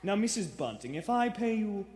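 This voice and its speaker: A man playing a secretive, pious and stern gentleman lodger in a live radio play, formal and theatrical. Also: sits high, big pitch swings. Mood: formal, businesslike. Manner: slow, formal, theatrical, clipped orders. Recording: echoey theater stage recording.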